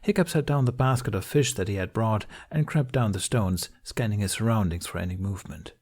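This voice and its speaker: Male narrator, lowish pitch, scripted fiction reading, measured storytelling. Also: darkish tone, husky. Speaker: amateur audiobook narrator.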